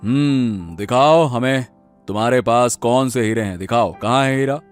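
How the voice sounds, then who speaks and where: Cartoon village patriarch, voiced by a man: middle-aged, gruff, threatening, medium pitch, always interrogating. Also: normal speed, soft background music, natural voice. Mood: suspicious, commanding. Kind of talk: Hindi cartoon moral-story acting.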